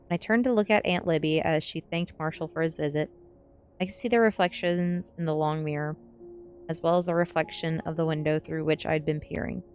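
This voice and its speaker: A woman's voice: low-pitched, fairly even intonation, a little fast, slight background noise. A woman reading a story aloud in the manner of a storybook narrator, close to the microphone. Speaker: streamer reading a novel aloud. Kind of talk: storybook narration, ASMR-style read-aloud. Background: faint background music.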